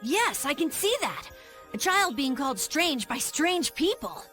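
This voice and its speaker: High-pitched, blunt clever girl. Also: very animated, a little slow, a bit bright, faint music behind, full character voice. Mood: dry, matter-of-fact. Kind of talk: video game voice acting.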